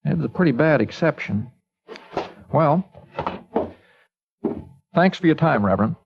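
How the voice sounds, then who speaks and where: An actor playing a calm, persuasive small-town newspaperman who talks in an easygoing, folksy drawl in a vintage radio drama. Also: on the high side, unhurried, gravelly, background noise. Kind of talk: 1950s radio acting. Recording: dull lo-fi old radio broadcast.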